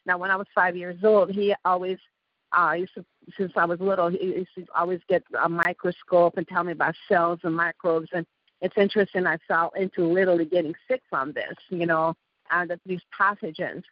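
A woman's voice: lowish voice, little pitch movement, brisk, no room sound. Iranian woman with a slight lisp. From Iran. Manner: rambling storytelling with restarts and a slight lisp from missing teeth. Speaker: guest. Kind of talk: casual interview talk. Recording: dull lo-fi call audio.

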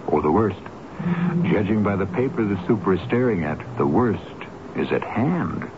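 A man's voice: low voice, lively intonation, normal speed. A man narrating a radio drama in a scripted, dramatic, portentous manner. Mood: ominous. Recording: noisy, muffled old radio broadcast recording.

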